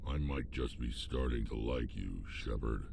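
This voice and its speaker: An actor playing a gruff, battle-hardened old alien warrior in a video game, with a deep gravelly growl and a terse, deadpan delivery. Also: male voice, unhurried, full character voice. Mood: grudging, wry. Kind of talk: video game character acting. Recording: music behind the voice.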